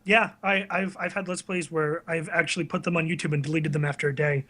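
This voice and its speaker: Male video creator as interviewee, high-pitched, informal, modest and self-deprecating. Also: fairly even intonation, fast talker, sharp tone. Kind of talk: casual chat.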